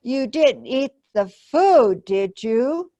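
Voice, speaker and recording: A woman voicing a small, patient but worried female mouse in a children's storybook, gently and expressively. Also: a little high, animated, very slow, dry recording, exaggerated voice. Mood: dismayed.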